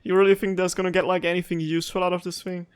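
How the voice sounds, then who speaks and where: A man's voice: high voice, flat delivery, very fast, clear, resonant tone. A man hosting a gaming video with casual, sarcastic, rambling let's play commentary. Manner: sarcastic, rambling, thinking aloud.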